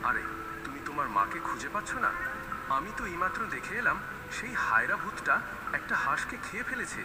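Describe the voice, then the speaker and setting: A man's voice: on the high side, big pitch swings, brisk. A man voicing an eagle uncle in a cartoon, exclaiming and resigned as he delivers grim news. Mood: grim, surprised. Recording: echoey long reverb, loud music behind.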